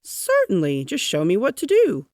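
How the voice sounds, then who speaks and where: A woman's voice: medium pitch, wildly swooping pitch, moderate pace, a little mellow, dry recording, clean studio sound. A woman voicing a shy, kindly man in a children's storybook, speaking politely, earnestly and with emphasis. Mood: cheerful, obliging. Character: shy lonely man turned cheerful and kindly. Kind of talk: storybook character reading.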